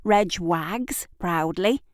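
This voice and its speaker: Woman doing audio drama narration, really slow and deliberate, theatrical pauses, super dry close sound. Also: on the low side, no echo. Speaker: narrator and writer.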